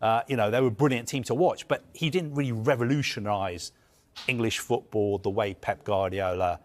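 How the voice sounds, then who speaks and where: A man's voice: on the low side, moderate pace. A man giving live news analysis as a football reporter, earnest and emphatic, building his points through lists.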